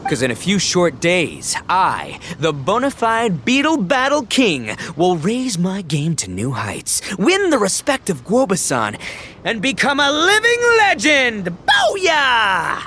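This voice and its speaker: Brash, wildly animated oni gang boss. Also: male voice, high-pitched, takes it slowly, background noise, exaggerated voice. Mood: boastful. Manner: bombastic and easily excited, stumbling over formal words. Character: brash, boisterous, dim-witted young male oni gang boss. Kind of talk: video game voice acting.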